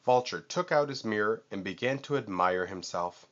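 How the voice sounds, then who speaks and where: A man reading a children's story aloud in an expressive, deliberate manner. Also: lowish voice, lo-fi sound. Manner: deliberate, animated. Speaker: storybook reader.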